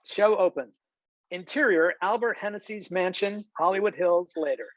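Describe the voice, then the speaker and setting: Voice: medium pitch, normal speed, no echo. A narrator reading scripted stage directions for a screenplay table read over a video call. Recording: dull, lo-fi video call audio.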